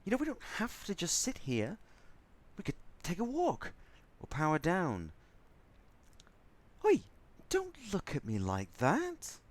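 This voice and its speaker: A man's voice: sits high, wildly swooping pitch, slow pace, full character voice. Man acting a sassy robot with wildly swooping voice. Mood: restless, exasperated. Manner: sassy, dramatic, sardonic. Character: sassy, frazzled robot crew member, stubborn and theatrical. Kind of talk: audio drama acting.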